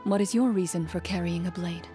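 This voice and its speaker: An actress playing a cool, composed young woman, a champion duelist in a fantasy video game, speaking in a measured, formal and restrained way. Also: on the low side, music in the background, close to an everyday voice. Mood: measured, reflective. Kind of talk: scripted video game character voicelines.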